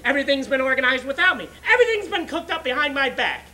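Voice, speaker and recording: Haughty, melodramatic older mother male performer for the stage, very high, sweeping indignant pitch. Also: expressive, fast talker, sharp tone, recorded from a distance, full character voice. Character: imperious, wounded, self-pitying older mother of the groom. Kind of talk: theatrical stage monologue.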